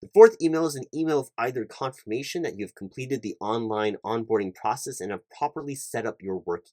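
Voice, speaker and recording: A man's voice: fairly low pitch, a little mellow, no echo, natural voice. A man playing an officious, polite recruiting manager in a one-sided ASMR roleplay, talking in a wordy, hesitant way and repeating himself. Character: officious, rambling male corporate recruiting manager, polite and awkward.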